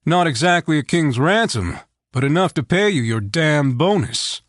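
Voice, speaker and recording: A man's voice: on the high side, animated, moderate pace, no echo, lightly acted. A man voicing a cunning, ruthless male adventurer who speaks with dry, cold sarcasm. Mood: wry.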